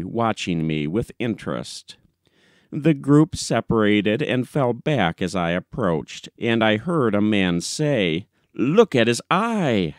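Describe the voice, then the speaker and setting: Unhurried male narrator, lively intonation. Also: on the low side, dry recording, clean studio sound. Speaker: audiobook narrator. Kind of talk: scripted literary narration.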